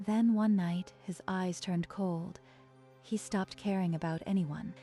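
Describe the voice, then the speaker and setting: A woman's voice: lowish voice, fairly even intonation, measured pace, mellow, soft background music, natural voice. A woman voicing a frightened, earnest young palace maid in a manga dub. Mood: sorrowful. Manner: earnest, anxious.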